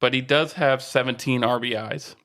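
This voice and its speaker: A man's voice: medium pitch, steady tone, moderate pace, fairly bright tone. A man co-hosting a sports podcast in casual, teasing banter, repeating himself for emphasis and swearing now and then.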